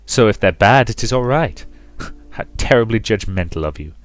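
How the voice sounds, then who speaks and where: A man voicing an ancient, callous male predator who feeds on people, talking in a smooth, condescending, amused way. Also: fairly low pitch, animated, normal speed, no echo, lightly acted. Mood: mocking. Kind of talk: visual novel voice reading.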